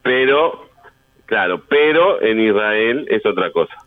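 A man with a Rioplatense Spanish accent hosting casual, joking radio banter in a halting way. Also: lowish voice, expressive, dry recording. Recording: tinny band-limited radio sound.

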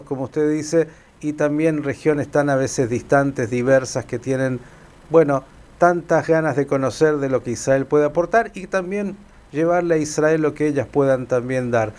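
Higher-pitched man hosting. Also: a little mellow. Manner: courteous, complimentary, familiar. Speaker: interviewer, host. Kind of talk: conversational broadcast interview.

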